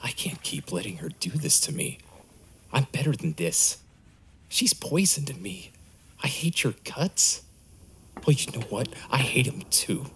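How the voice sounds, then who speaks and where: An actor playing a resentful, intense husband sliding toward madness in a horror drama. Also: male voice, a little high, very animated, unhurried, gravelly, fairly bright tone, slight background noise, heavily acted. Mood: seething, unhinged. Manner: intense, embittered. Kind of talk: scripted audio horror drama.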